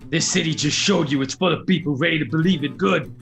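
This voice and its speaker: Someone doing a gravelly, grim masked vigilante. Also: mid-range voice, fast, fairly bright tone. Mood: grim defiance. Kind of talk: comic table read of a film script. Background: plucked guitar music.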